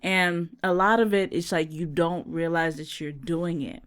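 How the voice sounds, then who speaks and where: A podcast co-host who grew up in Jamaica, chatting casually in a lively way with slang, with no accent. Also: mid-range voice, unhurried, clean tone. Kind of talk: casual chat.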